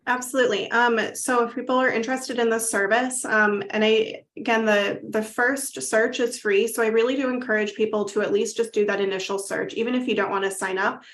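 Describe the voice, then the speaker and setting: A woman's voice: a little high, steady tone, brisk, hardly any pauses, fairly bright tone. A woman in her thirties, a company founder, answering interview questions earnestly with frequent "um" fillers. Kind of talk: casual interview.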